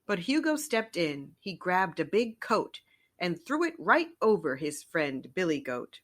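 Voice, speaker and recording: A woman storyteller reading a children's picture book aloud in an expressive narrating style. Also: on the low side, unhurried.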